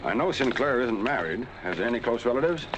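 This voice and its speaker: An actor playing a grave, authoritative family doctor with clipped delivery in a 1940s film noir. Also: male voice, mid-range voice, sing-song, quick pace, lightly acted, low-quality recording. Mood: grave, firm. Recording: old 1940s film soundtrack with music.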